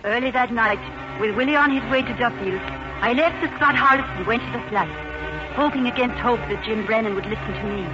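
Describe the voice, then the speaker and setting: A woman's voice: a little high, brisk, natural voice. Young Irish heroine woman acting, storytelling narration, 1940s radio, dull recording under swelling music. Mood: anxious, reflective. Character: earnest young Irish maid narrating her own story.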